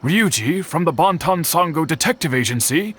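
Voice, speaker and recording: A man playing a kindly, thoughtful smithy elder in a video game, speaking formally and deliberately. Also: a little high, lively intonation, fairly bright tone, slightly rough. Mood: reassuring.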